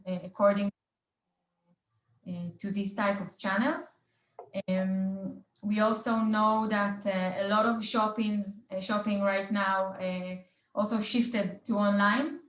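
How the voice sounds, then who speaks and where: Halting, slow-paced woman hosting a roundtable. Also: medium pitch, roomy sound. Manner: halting, hesitant delivery with very frequent filled pauses. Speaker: roundtable host. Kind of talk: unscripted business presentation in a panel discussion.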